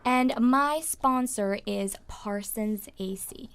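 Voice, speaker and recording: A woman's voice: on the high side, slow, a bit bright, clean tone. A woman in her twenties, a pageant contestant, cheerfully and proudly introducing herself on radio. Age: 20s.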